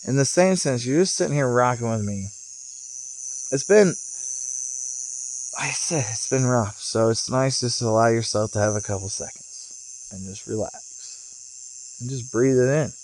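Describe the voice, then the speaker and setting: Guy doing a loose spiritual ramble outdoors, musing with pauses, mid pitch, bugs chirping behind him. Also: a little mellow, slight background noise, rough recording. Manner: casual, musing, phrases broken by pauses. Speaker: vlogger and spiritual guide. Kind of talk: rambling off-the-cuff spiritual monologue. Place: outdoors, sitting in a rocking chair. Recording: outdoor recording with chirping insects. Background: cicadas or crickets.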